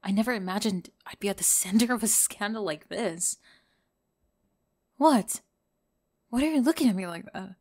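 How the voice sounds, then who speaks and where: A woman playing a weary, upset young pop star, speaking softly and breathily with sighs in an intimate ASMR roleplay. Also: mid-range voice. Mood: defensive, hushed. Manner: soft, breathy, sighing.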